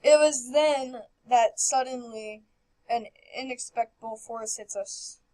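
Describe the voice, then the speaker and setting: A woman hosting a game playthrough, reading visual novel text aloud with animated, casual reactions and stumbling over words now and then. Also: a little high, slow, rough recording. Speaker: host of a video game playthrough. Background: game background music.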